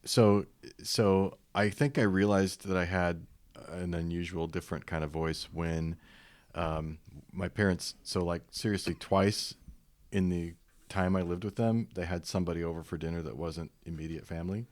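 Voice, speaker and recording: A man who is a guest on a casual podcast, with a distinctive smooth radio-style voice, telling stories in a relaxed, rambling way. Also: low-pitched, normal speed. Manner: relaxed, rambling storyteller with many restarts. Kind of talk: casual chat. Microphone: podcast microphone.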